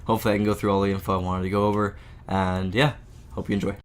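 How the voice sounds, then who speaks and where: A man with a sick, slightly off voice, casually walking through a software tutorial with lots of filler words. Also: on the low side, flat delivery, very fast. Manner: casual, many "uh" and "there" fillers. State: sick, voice a bit off. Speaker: game engine tutorial maker. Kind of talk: screen-recorded software tutorial.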